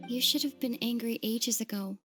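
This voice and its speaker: A woman playing a caring, calm young traveler heroine in a video game cutscene. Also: fairly high pitch.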